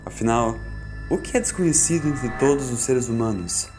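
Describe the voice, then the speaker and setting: A Brazilian man narrating a scripted fan audiobook in a solemn, deliberate, dramatic way. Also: medium pitch, expressive, a little mellow, faint music behind.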